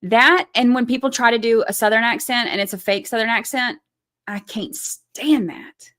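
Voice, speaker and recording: A woman's voice: fairly high pitch, moderate pace, fairly bright tone. A woman hosting a casual livestream, reading viewer comments aloud and reacting to them in a chatty way.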